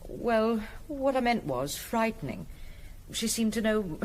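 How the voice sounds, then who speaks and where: Guarded woman, expressive intonation. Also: on the high side, unhurried, slight background noise. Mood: guarded, uneasy. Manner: clipped, defensive, reticent. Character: guarded, uneasy married woman under police questioning. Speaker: actress playing a questioned witness. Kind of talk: radio drama acting.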